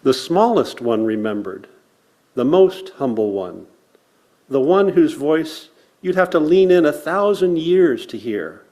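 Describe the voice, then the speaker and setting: Slow-paced man reciting solemnly to a congregation. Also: on the high side, very animated, warm tone. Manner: solemn, measured, deliberate phrasing with pauses. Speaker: worship service speaker. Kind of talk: land acknowledgement and poetry recitation to a congregation.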